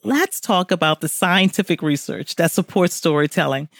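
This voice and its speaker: A woman hosting an interview in a lowish voice, reading a prepared introduction of her guest before asking questions. The sound is dry and close. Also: moderate pace, creaky, dry recording. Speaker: host.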